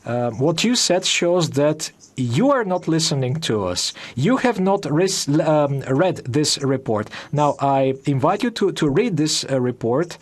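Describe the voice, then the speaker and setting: A man answering in a debate, reproachful and insistent. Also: on the high side. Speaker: parliament member. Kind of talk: debate rebuttal.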